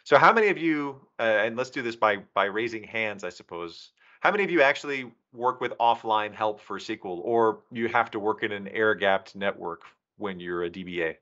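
A man's voice: fairly low pitch, lively intonation, fairly quick, hardly any pauses, sharp tone. A man giving a technical presentation to a user group over an online video call. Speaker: presenter, documentation team member. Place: indoors, remote video call. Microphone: video call microphone. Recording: slightly dull video-call audio.

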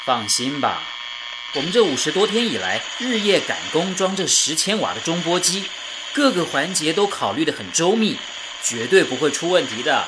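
Reassuring engineer, expressive intonation. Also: male voice, a little high, normal speed, background noise. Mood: calm, confident. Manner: steady, reassuring. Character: calm, reassuring male wartime radio engineer. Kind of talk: radio drama acting.

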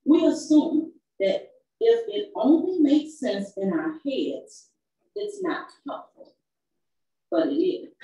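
Slow, lively woman minister in echoey church. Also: high-pitched, distant mic, dull audio. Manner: measured, emphatic pulpit delivery. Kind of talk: church devotional reading. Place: church sanctuary. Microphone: far camera.

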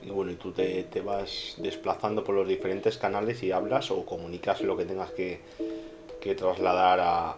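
A man with an accent from Spain narrating a casual unboxing review in an informal way, pausing and repeating himself. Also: sits low, level tone. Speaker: video reviewer. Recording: music behind the voice. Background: background music.

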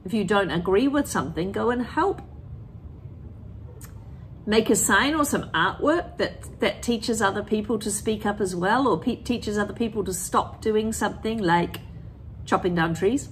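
A middle-aged woman teacher reading a picture book aloud to children, with deliberate, expressive phrasing, talking directly to her young listeners. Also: medium pitch, a little hiss or hum, low-quality recording. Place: empty school classroom, indoors.

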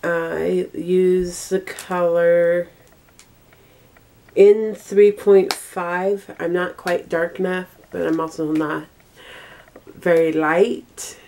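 A young woman vlogging casually with a cold, speaking in a halting, stop-and-start way in a bedroom. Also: on the low side, level tone, warm tone, slight background noise. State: congested with a cold. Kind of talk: casual monthly favorites vlog. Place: small bedroom, indoors.

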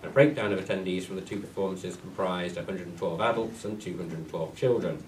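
A man's voice: low voice, brisk, distant mic. A man with a cold, sounding bunged up, reading a clerk's report to a council meeting. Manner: plain, steady reading of figures, with asides. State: congested with a cold. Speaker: parish clerk. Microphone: far room mic. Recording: echoey hall, voice off mic, faint hiss.

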